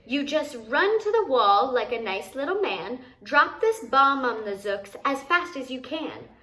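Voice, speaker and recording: A woman's voice: high-pitched, big pitch swings, unhurried, bright, forceful tone, heavily acted, poor audio. A woman voicing an upbeat, commanding male chief in a rhyming storybook, reassuring and boastful as she gives instructions. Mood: proud, commanding. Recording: noticeable background noise.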